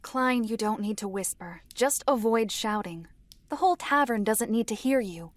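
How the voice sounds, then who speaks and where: A woman playing a reserved, composed female storyteller in a fantasy audio drama, speaking in a measured, formal, precise way with a dry, stern edge. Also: a little high, no room sound, lightly acted. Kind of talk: fantasy visual novel audio drama acting.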